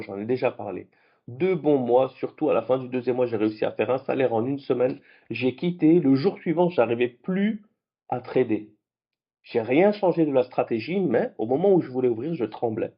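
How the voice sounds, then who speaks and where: A man whose first languages are French and Arabic, hosting a late-night trading vlog in a lively, conversational way. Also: medium pitch, breathy edge, muffled recording. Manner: animated, conversational, with restarts. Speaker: trading channel host.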